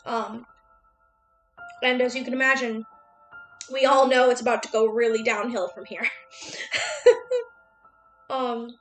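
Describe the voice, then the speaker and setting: A woman's voice: on the high side, steady tone, a little slow, pauses often, a bit bright. A woman streamer talking casually and chattily straight to her viewers. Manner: chatty, addressing viewers directly. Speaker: streamer hosting a study-with-me chat stream. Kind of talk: casual livestream chat. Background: music.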